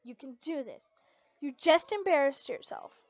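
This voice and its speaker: A woman's voice: sits high, expressive, moderate pace, a little mellow, dull audio. A woman voicing an emotional, hot-headed teenage schoolgirl who stammers and exclaims, in an amateur story reading. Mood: self-steadying.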